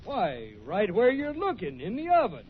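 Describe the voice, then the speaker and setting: An actor playing a grouchy, excitable prospector in an old-time radio western, with a folksy rustic drawl and blustering, indignant manner. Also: male voice, high-pitched, moderate pace. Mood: easygoing. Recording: muffled, noisy old radio recording.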